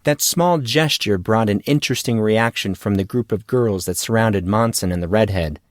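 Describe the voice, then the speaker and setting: A man's voice: on the low side, moderate pace, dry recording, clean studio sound. A man reading scripted fiction as an audiobook narrator.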